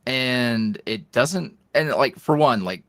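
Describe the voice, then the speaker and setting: A man's voice: medium pitch, expressive, takes it slowly, a bit bright, no room sound, studio quality. A man, an ASMR roleplay creator, chatting as a guest in a casual interview, rambling with many fillers and restarts.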